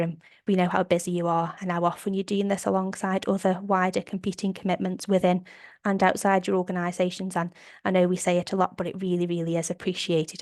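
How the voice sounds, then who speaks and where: A woman with a slight cold, sounding a bit croaky, presenting survey findings on a video call in an upbeat, methodical way. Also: on the low side, darkish tone, no echo, clean studio sound. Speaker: operations manager presenting survey data. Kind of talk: webinar slide presentation.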